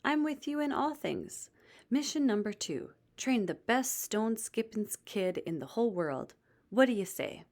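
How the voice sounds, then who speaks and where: A woman's voice: fairly high pitch, sing-song, measured pace, a little mellow, voice pushed up, exaggerated voice. A woman voicing a kind male mentor in a children's storybook, teaching in an encouraging, instructive way. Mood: playful, encouraging.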